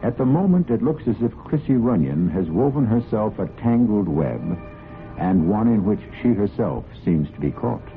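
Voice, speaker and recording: A tinny old radio broadcast with faint music carries a male mystery host. His very deep voice narrates with suspenseful, theatrical deliberation and wide swings of pitch. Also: big pitch swings, moderate pace.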